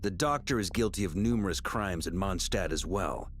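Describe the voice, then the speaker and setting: A deep-voiced, stern gentleman of a video game acted by a man speaks formally and gravely. He stays restrained, with music behind. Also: moderate pace, close to an everyday voice. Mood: grim.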